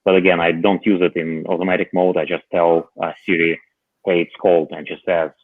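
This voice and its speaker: A low-voiced man on a tinny, phone-like line, talking hesitantly with lots of fillers and dry humor. The sound is dry, with no room around it. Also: flat delivery, brisk, dry recording. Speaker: panel guest. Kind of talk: casual tech chat on a call.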